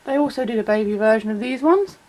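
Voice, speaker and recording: Croaky woman with a cold. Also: on the high side, lively intonation, quick pace, low-quality recording. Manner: chatty, many fillers, reads out shout-outs. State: croaky voice from a cold, sore throat. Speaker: toy collector vlogger. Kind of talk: casual explanatory vlog.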